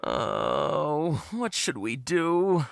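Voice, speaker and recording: A man's voice: fairly high pitch, big pitch swings, extremely slow, gravelly, slight background noise, heavily acted. An actor playing a fretful young male toymaker who sighs and hesitates a lot. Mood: worried. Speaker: toy store worker.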